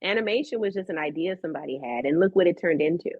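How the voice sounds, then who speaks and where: Expressive American woman, dry dull recording. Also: lowish voice, fast, slightly rough, dry recording. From California, USA. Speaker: voice actress, podcast guest. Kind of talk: casual interview chat.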